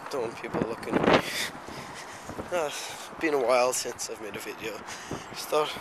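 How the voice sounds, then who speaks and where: Teenage lad rambling over loud street noise. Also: male voice, medium pitch, normal speed. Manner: hesitant, meandering, offhand. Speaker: amateur vlogger. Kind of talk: casual rambling walking vlog. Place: outdoors on a residential street, walking. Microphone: handheld camera microphone. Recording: handheld camera outdoors, loud street noise.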